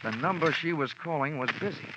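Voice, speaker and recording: An actor narrating as a wry insurance investigator in a 1940s radio drama, with clipped first-person narration. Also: male voice, on the high side, brisk, very noisy, lightly acted. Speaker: narrator and lead detective. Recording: lo-fi old radio recording.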